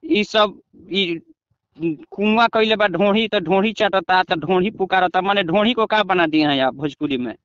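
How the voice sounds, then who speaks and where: A man's voice: high voice, measured pace, fairly bright tone, no room sound. A man from Bihar with a Bhojpuri accent, arguing and scolding as a reporter in a confrontational phone interview. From Buxar, Bihar, India. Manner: argumentative, scolding. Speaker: news reporter. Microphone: phone call. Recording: dull, dry recorded phone call.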